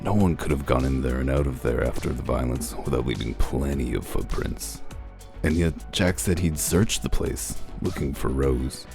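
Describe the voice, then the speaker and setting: A man playing a gruff, dry wolf detective who explains things in a deliberate, self-assured way in a comic dub. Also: extremely low pitch, animated, a little mellow, full character voice. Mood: smug, methodical. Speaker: detective character and first-person narrator. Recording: music under the voice. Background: background music.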